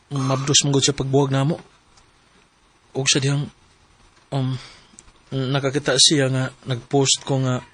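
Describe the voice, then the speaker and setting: A man's voice: mid-range voice, a bit bright, slightly rough. An actor playing a hesitant young boyfriend who stammers as he confesses to his partner in a radio drama. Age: young adult.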